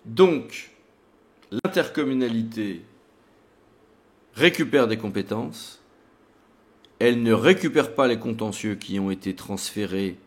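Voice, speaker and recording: A man's voice: on the low side, expressive, slow pace, long pauses. Man presenting an explanatory legal tutorial.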